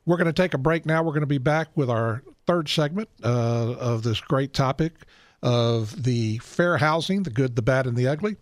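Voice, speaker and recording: A man's voice: mid-range voice, normal speed, dry recording, studio quality. Male radio talk show host.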